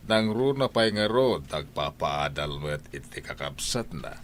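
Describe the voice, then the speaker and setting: A man's voice: a bass voice, animated, fairly bright tone, a little hiss or hum, full character voice. A man playing a stern, domineering husband and head of the household in an audio drama, lecturing in an Ilocano Filipino accent. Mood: blunt, reproachful. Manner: slow, lecturing, commanding.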